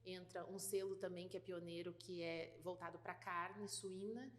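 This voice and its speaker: A woman with a light gaúcho accent explains her work in an interview, thinking aloud with fillers and restarts. Her voice sits a little low for a woman. A long reverb trails behind her, as if she were in a large hall. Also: little pitch movement, moderate pace. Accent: light gaúcho Brazilian Portuguese. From Rio Grande do Sul, Brazil. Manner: thoughtful, many fillers and restarts. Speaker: guest, food certification business partner.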